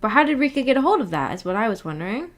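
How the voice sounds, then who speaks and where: Higher-voiced, giggly woman streamer. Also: sing-song, racing. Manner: giggly, rambling. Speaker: streamer hosting a book club discussion. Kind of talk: casual livestream chat.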